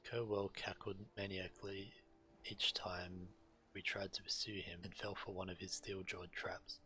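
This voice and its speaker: A male game streamer with a low, bright voice. He reads quest text aloud as narration and drops into casual commentary as he plays. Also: flat delivery, unhurried, a little hiss or hum, rough recording.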